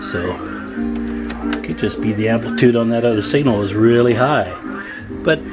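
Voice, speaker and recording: A man's voice: mid-range voice, normal speed, music in the background. A man giving an informal repair walkthrough as a technician, thinking aloud while he works. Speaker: radio repair technician, video host. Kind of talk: casual hands-on repair walkthrough.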